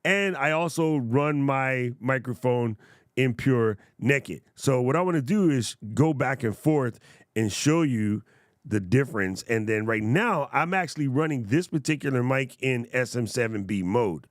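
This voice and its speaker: A man hosting a casual livestream, demonstrating gear in a playful, jokey way and chatting with viewers in a naturally loud voice, close on a studio mic. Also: medium pitch, expressive, measured pace, no echo. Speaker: tech reviewer livestream host. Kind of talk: casual livestream product demo. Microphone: studio broadcast mic up close.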